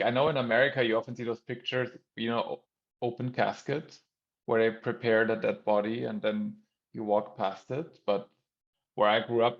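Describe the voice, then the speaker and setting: Gravelly low man from Austria. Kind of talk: casual discussion. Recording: dull, lo-fi sound.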